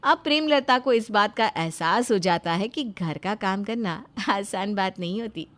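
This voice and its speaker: A woman's voice: mid-range voice, expressive, unhurried, fairly bright tone. A woman giving scripted narration of a moral story as its storyteller.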